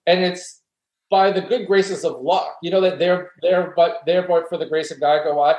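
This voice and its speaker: A man's voice: fairly high pitch, steady tone, normal speed, a little mellow. Earnest man on an echoey line. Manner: earnest, explaining step by step. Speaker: guest philosopher. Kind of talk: conversational interview, reflective argument. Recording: roomy echo.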